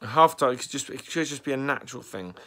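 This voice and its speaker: A young man talking anxiously in a casual vlog, congested and a little raspy from a cold, clearing his throat often. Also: medium pitch, expressive, fast, a bit bright. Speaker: vlogger.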